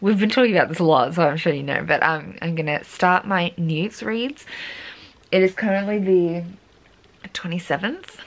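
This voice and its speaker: A woman in her twenties, a book vlogger, chatting casually at home with plenty of ums and asides, her voice gone croaky. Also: lowish voice, normal speed. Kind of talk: casual chat.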